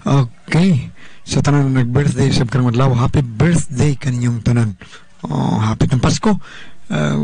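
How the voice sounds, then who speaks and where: A man hosts a radio drama programme with a Visayan accent. His mid-pitched voice is recorded close and dry, and he speaks deliberately. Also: a little mellow, no room sound. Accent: Filipino, Visayan. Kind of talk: radio presenting, greetings and introduction.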